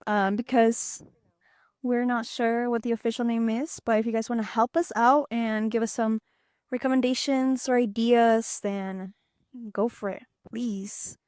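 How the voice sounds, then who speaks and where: Young woman from Peru, college co-host, higher voice, chatty with lots of fillers, dry close sound. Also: steady tone, a little slow, darkish tone, smooth, no room sound. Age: young adult. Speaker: podcast co-host, student ambassador. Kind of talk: casual chat.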